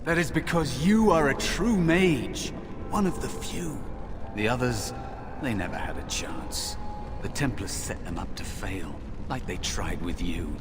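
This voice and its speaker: A man's voice: medium pitch, expressive, a little slow, over music. A man playing an earnest, furtive young apprentice mage in a fantasy video game, with a dramatic, imploring delivery. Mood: earnest, coaxing. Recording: long reverb, clear background noise.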